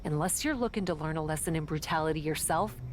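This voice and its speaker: A woman's voice: low voice, fast, natural voice. A woman playing a tough, abrupt, ruthless wrestling faction leader in video game cutscenes, speaking in a curt, hard-edged way. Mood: defensive, hard. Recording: game audio with clear background noise.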